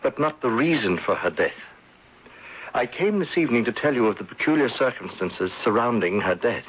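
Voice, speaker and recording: A troubled, earnest gentleman in a melodramatic radio play. The voice is mid-pitched and husky, with halting phrasing. The recording is dull and lo-fi. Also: animated. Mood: anguished. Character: anguished, guilt-ridden male former insurance agent. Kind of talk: 1970s radio drama acting. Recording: dull lo-fi old radio broadcast.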